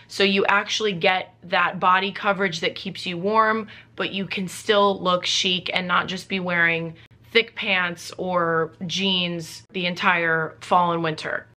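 A young woman vlogging from a living room, talking casually to the camera, with a low voice while she is sick. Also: sharp tone. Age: young adult. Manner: chatty, upbeat, lists items quickly. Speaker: beauty vlogger. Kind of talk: casual sponsored vlog talk. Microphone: camera facing her, mid-shot.

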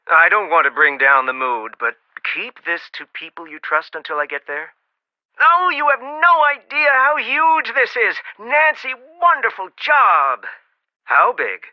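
A protective, middle-aged archaeology professor: earnest, cautioning and expressive, with slightly muffled, dry audio. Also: male voice, high-pitched, measured pace, no room sound. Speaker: archaeologist mentor. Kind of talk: video game voice acting.

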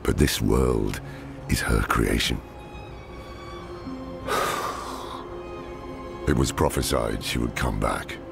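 An actor playing a gruff, weary, cynical older man in a dramatic video game. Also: heavily acted. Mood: grave. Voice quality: deep, gravelly. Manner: slow, grave, world-weary. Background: game music.

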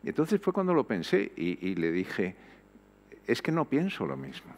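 An older man with a low voice that rises and falls widely as he presses his points. He talks reflectively on a panel, pausing and restarting, into a headset mic on a stage before an audience. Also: wildly swooping pitch. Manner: reflective, emphatic, frequent hesitations. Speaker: panel guest. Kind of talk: panel discussion.

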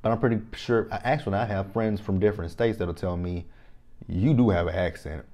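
A man from Texas in his thirties with a Texan accent, giving an animated, opinionated reality TV recap straight to camera on a lapel mic in a small room. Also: sits low, a little fast. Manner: animated, repeats phrases, talks straight to viewers. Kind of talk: casual opinionated review to camera. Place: small indoor room, plain backdrop.

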